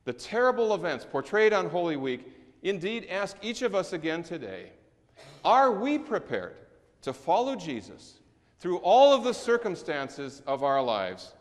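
A middle-aged male pastor preaching a sermon in a large church sanctuary, with measured delivery, slow emphatic stresses and falling endings. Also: a little high, big pitch swings. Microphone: distant camera recording in the sanctuary. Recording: long church reverb.

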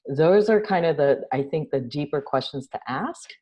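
A middle-aged woman speaks over a video call from a room at home. Her voice is deep and mellow for a woman. She tells stories in an animated way and acts out the other people in them. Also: moderate pace. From New York City. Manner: animated storyteller, gestures a lot, laughs. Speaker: guest, social entrepreneur. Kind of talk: casual interview chat. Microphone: video call webcam.

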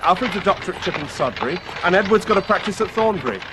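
An actor playing an eager, talkative young brother in a radio play. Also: male voice, high-pitched, lively intonation, fast. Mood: eager, proud. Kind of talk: 1980s radio play acting. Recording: noisy restored radio broadcast tape.

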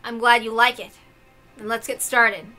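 A woman voicing a stern, gloomy young man who speaks softly but firmly as a dignified game master in a visual novel. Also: fairly high pitch, flat delivery, normal speed, faint music behind. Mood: solemn. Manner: soft but forceful, solemn, curt.

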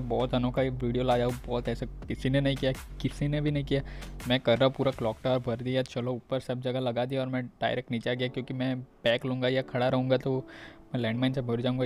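Flat-toned Indian male gamer over music. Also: mid-range voice. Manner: informal, addresses viewers directly. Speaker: gaming video creator. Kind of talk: casual video game commentary.

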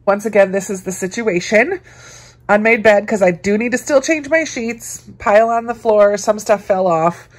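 A woman's voice: mid-range voice, normal speed. A woman vlogger chatting casually and informally to camera about her day, in an easygoing way. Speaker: book vlogger, host. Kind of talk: casual weekend vlog chat to camera.

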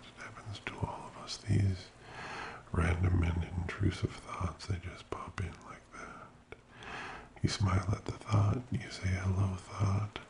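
A man speaking very softly as an ASMR host, slow and soothing, often slipping into a whisper in a creaky murmur. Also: low-pitched, noisy background. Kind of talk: soft-spoken ASMR personal attention, slow guided relaxation.

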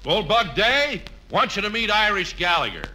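An actor playing a greedy, gold-crazed prospector in old radio comedy, feverish with drawn-out exclamations. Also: male voice, sits high, sing-song, pushed and bright, exaggerated voice. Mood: eager. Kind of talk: 1940s-50s radio comedy acting.

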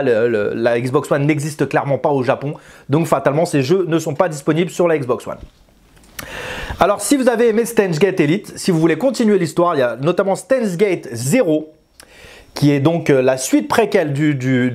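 High-pitched man chatting through a review. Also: a little mellow. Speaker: video game reviewer. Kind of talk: casual spoken review to camera. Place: small indoor room with bookshelves. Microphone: lapel mic.

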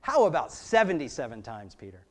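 A man's voice: on the high side, wildly swooping pitch, a little fast. A man in his thirties with an American accent, preaching a sermon from a church pulpit microphone, conversational and full of rhetorical questions. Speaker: pastor. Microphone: gooseneck pulpit microphone.

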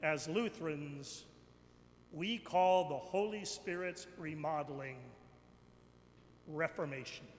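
A middle-aged or older man preaching a sermon from a church pulpit in a deliberate, declamatory manner, repeating phrases for emphasis. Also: a little high. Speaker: bishop preaching. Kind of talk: sermon, slow and emphatic with pauses. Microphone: pulpit microphone. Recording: echoey church hall, background noise.

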